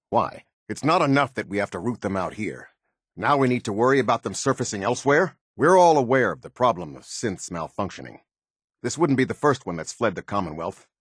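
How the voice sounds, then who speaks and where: Low-voiced, slightly husky military captain, strict and formal, issuing clipped orders for a video game. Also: male voice, dry recording, clean studio sound, heavily acted. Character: stern, disciplined male military captain giving orders. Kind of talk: scripted video game dialogue.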